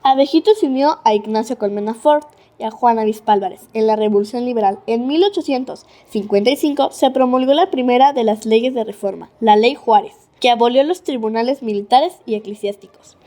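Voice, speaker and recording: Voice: mid-range voice, brisk, mellow. A child narrator reading a scripted story for a school audio drama.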